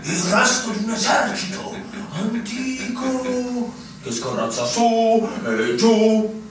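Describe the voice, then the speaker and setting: A very high, piercing voice plays an embittered fortress tailor on stage. He declaims excitedly, swinging wildly down to mutters, in a very echoey hall. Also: slow pace, crowd noise, exaggerated voice. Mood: agitated, ominous. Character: excitable, embittered fortress tailor stuck for years awaiting war. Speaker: stage actor. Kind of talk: theatrical stage drama. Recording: echoey hall from far off, faint noise.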